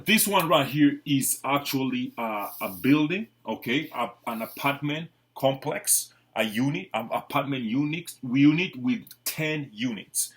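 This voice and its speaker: A man's voice: medium pitch, a bit bright. A man from Kenya with a Kenyan accent, a real estate agent giving an informal explanatory presentation in a repetitive, emphatic way with frequent check-in words.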